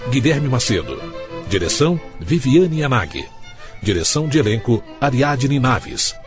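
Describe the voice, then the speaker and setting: A man reading scripted credits as a radio announcer. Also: medium pitch.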